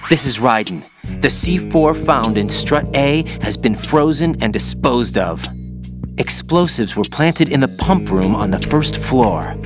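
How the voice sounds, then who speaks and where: A man's voice: medium pitch, expressive, a little slow, creaky. An actor playing an earnest young male rookie operative who asks lots of questions. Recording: game cutscene with music.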